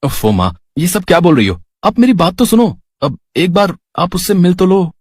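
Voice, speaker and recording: A man's voice: high voice, very animated, moderate pace, darkish tone, breathy edge, dry recording, studio quality, voice pushed up, heavily acted. A man voicing an earnest young adult son in a cartoon story, hesitant and pleading with his parents.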